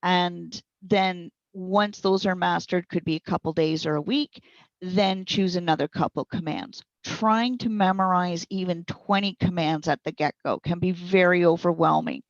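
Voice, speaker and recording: Low, creaky-voiced woman explaining. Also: moderate pace, no room sound, highs cut off. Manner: explanatory, encouraging. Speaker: guest software trainer. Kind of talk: conversational instructional interview answers.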